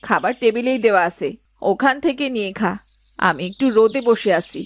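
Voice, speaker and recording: A woman's voice: fairly high pitch, lively intonation, fairly quick, natural voice. A woman voicing an exasperated, nagging village mother and wife in a moral-story cartoon, scolding and complaining. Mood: weary scolding.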